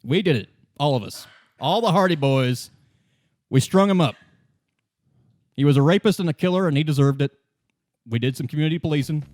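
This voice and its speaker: A man's voice: a little high, brisk, long pauses, darkish tone, no room sound, close to an everyday voice. Game role: late-thirties union hard man played by a man, defiant, blunt, emphatic, dry sound.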